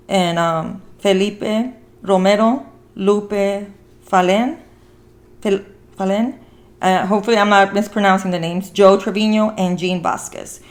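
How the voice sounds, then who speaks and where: Earnest woman hosting a casual podcast. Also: medium pitch. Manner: earnest, encouraging, repeats phrases for emphasis. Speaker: podcast host. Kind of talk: casual podcast conversation about a film.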